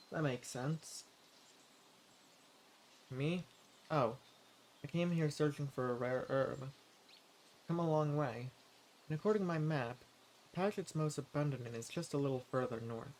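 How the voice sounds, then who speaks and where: A man playing a friendly, eager adventurer and forager in a fantasy roleplay audio drama, speaking politely and earnestly with gentle hesitation. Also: mid-range voice, slight background noise, lightly acted. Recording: clear outdoor nature ambience with birdsong. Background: forest ambience, birds.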